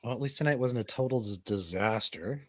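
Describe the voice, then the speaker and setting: A man's voice: mid-range voice, brisk, a bit bright, no room sound. A middle-aged man hosting a casual live stream in informal, sometimes sweary chat. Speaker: show host.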